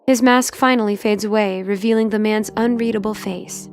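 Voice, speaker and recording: Casting: woman narrator for an audio drama, medium pitch, dramatic scripted read with light music bed. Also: fairly even intonation, normal speed.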